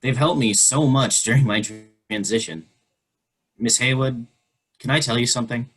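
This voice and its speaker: A performer reading a teenager's part in a staged play reading over a video call, earnest and quietly emotional. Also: male voice, medium pitch, normal speed, husky. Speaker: actor reading a teen character in a play.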